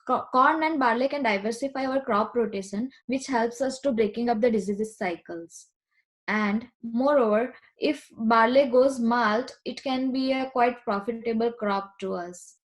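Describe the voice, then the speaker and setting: A young woman with an Indian accent delivers a prepared student presentation. Her voice is fairly high as she explains her points. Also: measured pace. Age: young adult.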